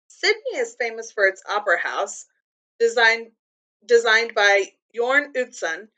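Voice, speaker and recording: Bright-voiced woman reading aloud to children. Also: a little high. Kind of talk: read-aloud with simple explanations for children.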